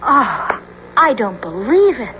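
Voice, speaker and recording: An anxious teenage girl in an old radio adventure. Her voice is high and very expressive, earnest and hesitant, on a muffled recording with faint hiss. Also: slow. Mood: amazed, earnest. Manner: earnest, hesitant, youthful colloquial speech. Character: anxious, bookish teenage girl, cautious sister. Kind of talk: 1970s radio drama acting.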